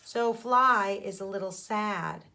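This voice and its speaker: Slow-paced woman teacher reading aloud to children. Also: medium pitch, bright, forceful tone. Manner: didactic, pauses to question the young listeners. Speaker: elementary school teacher. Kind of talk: children's read-aloud with explanatory asides.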